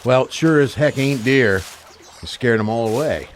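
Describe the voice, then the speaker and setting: A man's voice: medium pitch, normal speed, faint music behind, lightly acted. A man playing a skeptical, wisecracking hunter in a radio play, teasing and sociable. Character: wisecracking, skeptical hunting buddy, adult man.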